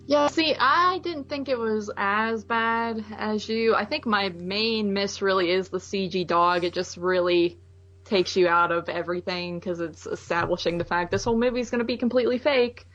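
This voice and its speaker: A woman's voice: mid-range voice, steady tone, moderate pace, fairly bright tone. Easygoing woman, slightly dull lo-fi sound. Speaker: movie podcast co-host. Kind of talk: casual movie review chat. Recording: slightly dull lo-fi audio, faint music.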